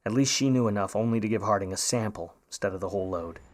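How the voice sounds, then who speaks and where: A man's voice: fairly low pitch, fast talker, natural voice. A man playing a wry 1950s private eye who talks and narrates in slangy old radio noir style. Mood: world-weary narrating. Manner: wry, slangy, hard-boiled. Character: grudging wisecracking 1950s male private eye, hard-boiled narrator. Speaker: lead actor and narrator in a radio play.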